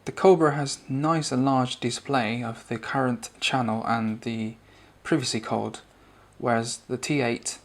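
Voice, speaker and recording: Gravelly-voiced man reviewing a product. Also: mid-range voice, steady tone, unhurried, hardly any pauses. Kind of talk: spoken product review and demonstration.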